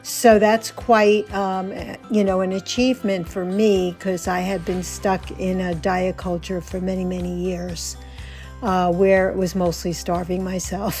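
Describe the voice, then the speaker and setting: A woman hosting a podcast in a conversational, chatty way, quick to laugh. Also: lowish voice, little pitch movement, darkish tone, faint music behind. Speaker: podcast host.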